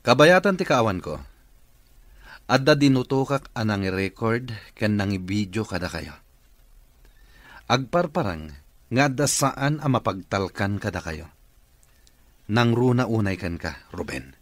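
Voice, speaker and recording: Accusing office boss, Ilocano accent, lowish voice, very animated intonation, radio drama. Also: male voice, measured pace, pauses often, dry recording. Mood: stern, accusing. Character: stern accusing male office boss confronting corrupt staff.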